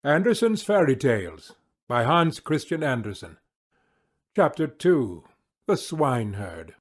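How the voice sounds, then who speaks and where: A man narrating a storybook audiobook in a measured, theatrical way. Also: mid-range voice.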